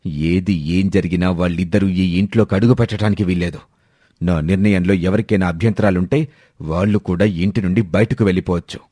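A man voicing a stern, angry old father who scolds and commands, in an animated moral story. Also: low voice, brisk, no room sound, studio quality. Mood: furious.

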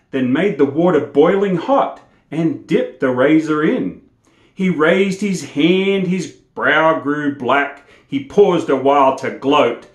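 An older man in his sixties, a storyteller presenting and reciting poetry in a theatrical way. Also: on the high side. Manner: animated, theatrical. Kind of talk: dramatic poetry recitation with casual introduction. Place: indoor room against plain wall, facing camera. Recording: roomy indoor echo.

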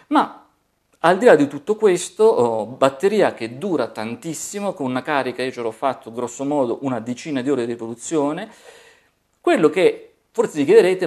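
A man's voice: mid-range voice, a little mellow. A man from Italy hosting a hands-on product review, explaining features in an informal, chatty way. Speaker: tech reviewer, video host.

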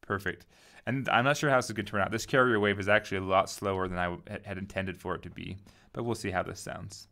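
A man giving a casual software tutorial, informally thinking aloud as he goes. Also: low-pitched, fairly even intonation, quick pace, gravelly. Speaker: tutorial host.

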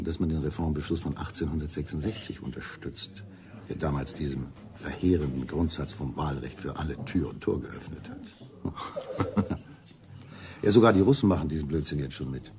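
An actor playing a shrewd, cynical intelligence doctor in a German radio play, with a dry, measured delivery. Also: male voice, very deep voice, dark tone. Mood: sardonic. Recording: faint noise on old radio recording.

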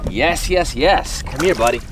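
A man's voice: fairly high pitch, expressive, measured pace, background noise. A middle-aged man hosting a fishing vlog in casual, enthusiastic, folksy talk, recorded out on an open boat. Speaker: fishing show host.

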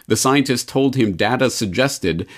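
A man's voice: mid-range voice, lively intonation, unhurried. A man hosting a casual interview, talking in a wry, sceptical manner. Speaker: interviewer, host.

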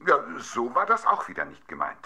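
A man's voice: mid-range voice, wildly swooping pitch, a little fast, bright, forceful tone, exaggerated voice. An actor playing a modest, good-humoured man in a German adventure audio play. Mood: playful protest.

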